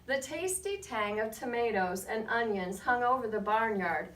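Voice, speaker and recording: A woman reading a picture book aloud to children as a guest storyteller, with expressive, measured delivery and short asides to her listeners. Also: a little high. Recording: roomy, distant mic, faint background noise.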